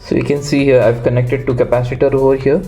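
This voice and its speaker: A man walks through a hands-on electronics build. His voice is mellow and mid-pitched. He speaks in a halting, unscripted way, pausing mid-phrase, with music playing behind him. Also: level tone. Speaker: electronics tutorial host. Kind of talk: unscripted step-by-step hands-on tutorial.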